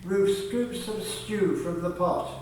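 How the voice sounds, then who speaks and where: Narrator for radio drama: man, measured scripted read, notably unhurried, in a very reverberant room with faint noise. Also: sits high, a little mellow. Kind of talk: scripted radio play narration, reading stage directions. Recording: distant, echoey, noisy room recording.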